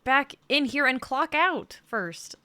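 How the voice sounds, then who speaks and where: A woman's voice: high voice, expressive, slow pace, sharp tone, dry recording, heavily acted. A young woman playing a protective, worried store worker in a dramatic script reading. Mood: firm, caring. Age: young adult.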